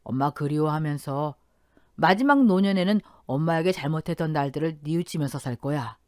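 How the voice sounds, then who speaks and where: A woman's voice: deep voice, lively intonation, brisk, no room sound, studio quality, pitched below the performer's own voice. A woman voicing a remorseful, resigned old widowed father in radio story dialogue. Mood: wistful, resigned.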